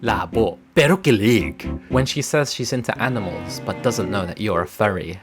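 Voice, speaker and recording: A young British man, a native English speaker who mixes in Tagalog, explaining a word in a jokey, animated vlog. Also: fairly low pitch, moderate pace. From United Kingdom. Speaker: advice vlogger. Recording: clear music bed behind voice.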